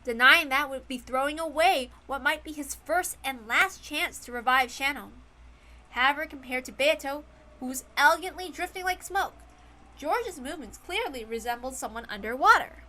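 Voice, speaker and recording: A woman narrates a fantasy story in a high, bright voice. Her delivery is dramatic and scripted, like an audiobook reading of a visual novel. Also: normal speed.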